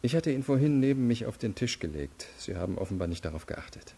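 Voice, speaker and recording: An actor playing an unyielding deputy prosecutor in a radio crime drama, laying out facts in a firm, deliberate way. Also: male voice, medium pitch, animated, creaky, background noise. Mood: firm, methodical. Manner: matter-of-fact, deliberate, firm. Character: methodical, unyielding male deputy prosecutor interrogating.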